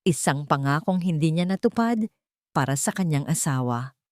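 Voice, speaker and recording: A woman's voice: low voice, animated, moderate pace, a little mellow, no echo, studio quality. A woman reading a dramatic scripted story as an audiobook narrator.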